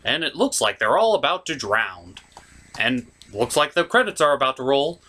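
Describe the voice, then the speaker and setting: A man's voice: mid-range voice, sing-song, moderate pace, sharp tone. A man giving a wry, theatrical scripted comedy narration of a film recap. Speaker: host of a comedic puppet-show movie review.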